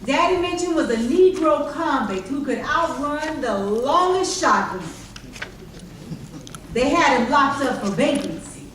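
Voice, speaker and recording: A woman narrating a folk tale on stage in a measured, dramatic way with emphatic pauses. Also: sits high, expressive, a bit bright. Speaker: storyteller in readers theater. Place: large echoey chapel during a live performance. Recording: very echoey hall, distant mic, faint noise.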